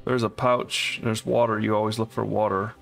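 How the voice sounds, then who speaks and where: Gravelly man playing a game. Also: lowish voice, moderate pace. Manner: relaxed, thinking aloud. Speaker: co-op gamer. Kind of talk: casual gameplay chat. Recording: voice over game music.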